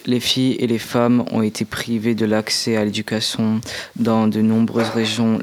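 A young man from Afghanistan reading a prepared talk on a radio show, hesitant, with many restarts and fillers. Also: on the low side. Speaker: guest presenting a testimony.

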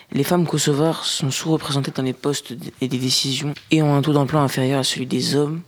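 A young man reading a prepared talk on a radio show in a measured, list-like way. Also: medium pitch. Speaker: guest presenting a testimony.

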